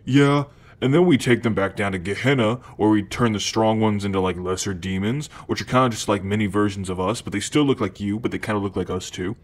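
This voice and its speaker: A man's voice: lowish voice, fairly quick, hardly any pauses, close to an everyday voice. A man playing a cocky, food-obsessed male demon in an ASMR roleplay, talking to the listener in a casual, hesitant slacker drawl. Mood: playful boasting.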